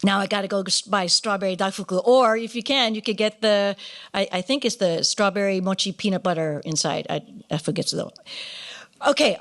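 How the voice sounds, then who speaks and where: A woman hosting a live awards ceremony in a playful, animated, partly ad-libbed way. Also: on the high side. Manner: animated, playful, exclamatory asides; drops Japanese phrases into English. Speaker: ceremony emcee.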